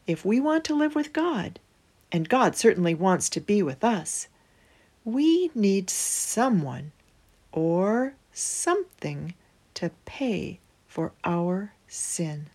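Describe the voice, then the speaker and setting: A woman reading a children's storybook aloud as host, with deliberate phrasing and emphatic pauses. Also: lowish voice, sing-song, darkish tone.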